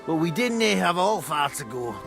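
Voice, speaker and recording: A roving scottish young noble, chatty n wry, creaky voice, music clearly behind him. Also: male voice, fairly high pitch, sing-song, normal speed. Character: breezy, roving young Moravian nobleman, man. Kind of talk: video game dialogue acting.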